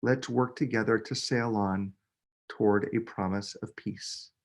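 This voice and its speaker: A man, a children's book author, reading a picture book aloud with expressive, dramatic emphasis. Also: on the low side, a little slow. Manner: expressive, dramatic delivery with emphasis on key words.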